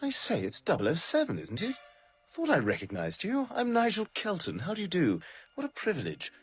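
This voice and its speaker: A man's voice: high voice, wildly swooping pitch, brisk, soft background music. An actor with an upper-class British accent playing an eager, chummy intelligence man in a radio drama, full of plummy exclamations. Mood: excited, confiding.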